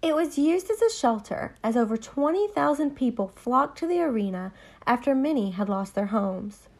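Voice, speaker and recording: A woman co-hosting a podcast, reading a scripted history with lively, earnest emphasis. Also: a little high, moderate pace. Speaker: podcast co-host. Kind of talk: scripted narration.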